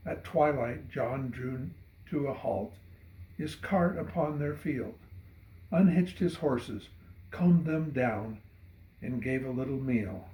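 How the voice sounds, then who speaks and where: A man reading a narrative poem dramatically, in measured and solemn storytelling. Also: mid-range voice, dark tone. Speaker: poet reading own poem. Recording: roomy echo.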